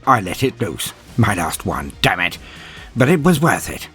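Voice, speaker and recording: A man's voice: lowish voice, big pitch swings, fairly bright tone, faint music behind. A man playing a grim, proud, dryly sardonic inquisitor, narrating a battle in a theatrical, brooding way as a first-person audio drama. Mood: intense, wry. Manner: theatrical, brooding, with weighty pauses.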